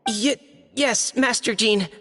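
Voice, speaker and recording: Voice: medium pitch, extremely slow, soft background music. An actor voicing a shy, earnest boyish young knight in a video game, stammering and hesitant. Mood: nervous. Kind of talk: animated game voice acting. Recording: long hall reverb.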